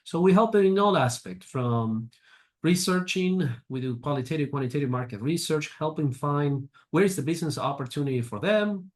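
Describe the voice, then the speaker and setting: Man, halting interview answers. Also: fairly high pitch, expressive. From Argentina. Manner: halting, with frequent fillers and restarts. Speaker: guest, agency president.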